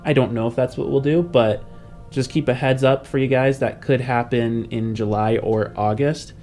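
Young man, game-podcast host, middle register, loose conversational delivery with halting asides, music underneath. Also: mid-range voice, steady tone, darkish tone. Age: young adult. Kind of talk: casual podcast chat.

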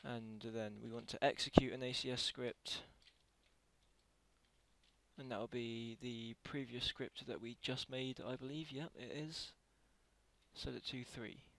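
A man giving a casual software tutorial as a voice-over, talking haltingly and correcting himself as he goes. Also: mid-range voice, steady tone, vocal fry, a little hiss or hum. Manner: halting, self-correcting while typing. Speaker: tutorial creator.

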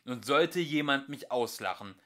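A man's voice: mid-range voice, animated, a little slow, a bit bright. A man voicing a foolish, short-tempered king in a storybook, commanding and pompous in manner. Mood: threatening.

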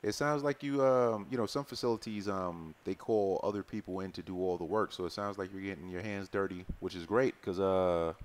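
A man's voice: low voice, fairly even intonation, brisk, few pauses. A middle-aged man speaking casually and supportively as an interviewer on a headset mic. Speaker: interviewer, HVAC tutor. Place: indoors, plain room.

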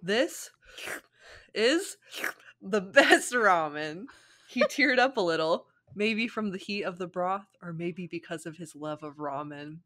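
A woman's voice: lowish voice, normal speed, few pauses, a bit bright. A young woman reading fan fiction aloud as a co-host, casually and theatrically, with playful, giggly banter.

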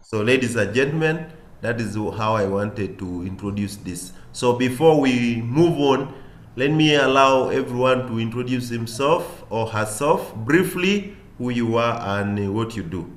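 A man's voice: medium pitch, animated, a little slow. Man chairing in an echoey room. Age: middle-aged. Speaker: meeting chair. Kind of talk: formal meeting introduction. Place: small office indoors, on a video call. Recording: echoey room on video call.

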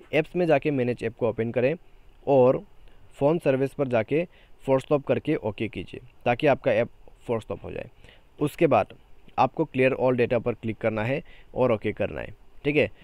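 A man's voice: medium pitch, normal speed, darkish tone, no echo. A man presenting an informal step-by-step phone tutorial as a YouTuber, often tagging his sentences with a short check-in phrase.

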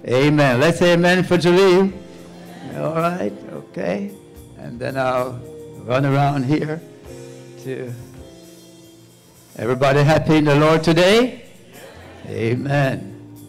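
Older pastor greeting visitors in church. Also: male voice, a little high, slow pace, gravelly, pauses often, faint music behind. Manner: warm repeating of names, calls for amen. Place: church sanctuary, walking among pews. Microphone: handheld mic.

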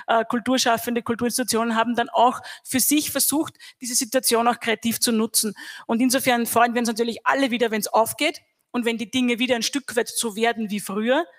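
A middle-aged Austrian woman speaks on a stage panel, holding a handheld mic. Her pitch stays flat and steady as she thinks aloud in a measured, reflective way. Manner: measured, reflective, even delivery. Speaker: panel guest, academy head. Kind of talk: panel discussion.

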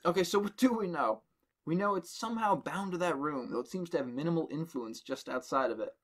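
A man's voice: a little high, fairly quick, fairly bright tone. A young man hosting a podcast, reading a horror story aloud and stopping to joke with friends. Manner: reads the story in a plain voice, breaks off to joke and laugh. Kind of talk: casual reading and banter with friends.

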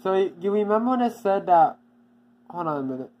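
A channel owner giving a casual, off-the-cuff update, halting and repeating itself often with many restarts. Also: medium pitch, lively intonation, dull audio.

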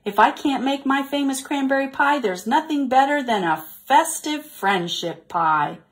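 A woman's voice: high-pitched, animated, a little slow, pitched above the performer's own voice. A woman voicing a kind, friendly female porcupine in a storybook read-aloud. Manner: cheerful and generous.

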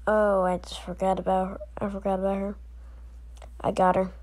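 A woman doing amateur scripted character dialogue for an animated story, with a drawn-out, unhurried delivery. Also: mid-range voice, no room sound.

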